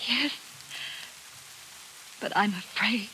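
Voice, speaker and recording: An actress playing an earnest, troubled young daughter in a plaintive 1940s radio drama manner. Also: female voice, medium pitch, very slow, over loud music, poor audio. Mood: distressed. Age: young adult. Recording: hissy 1940s radio broadcast.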